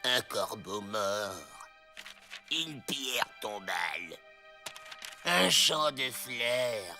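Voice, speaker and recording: An actor playing a small, hot-tempered, gloomy cartoon outlaw man with a creaky, strained, whiny delivery. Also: mid-range voice, lively intonation, very slow, over music. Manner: whiny, melodramatic, exclaiming. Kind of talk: animated cartoon acting.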